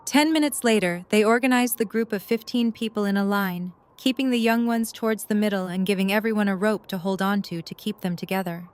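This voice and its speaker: A woman's voice: mid-range voice, a little fast, few pauses. A woman reading scripted story narration for an audio drama. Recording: noisy storm ambience behind.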